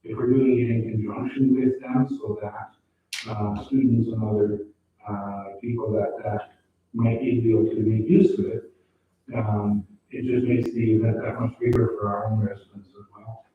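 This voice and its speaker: A man whose first language is French gives his councilor report at a town council meeting, pausing and repeating himself as he searches for words. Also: on the low side, little pitch movement. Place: council chamber. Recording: echoey chamber, distant phone-like mic.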